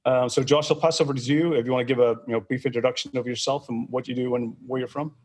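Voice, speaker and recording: A man's voice: medium pitch, fairly even intonation, fast talker, darkish tone. A man co-hosting a podcast, talking in a loose, rambling way with many fillers. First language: English. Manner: rambling, filler-heavy, friendly. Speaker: podcast co-host. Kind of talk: casual podcast conversation.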